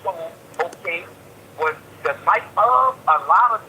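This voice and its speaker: A man's voice: high voice, expressive, unhurried, sharp tone. A man being interviewed by phone, talking in casual street slang, emphatic and repeating himself. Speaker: jail inmate being interviewed. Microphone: telephone handset. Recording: tinny jail phone call, faint noise.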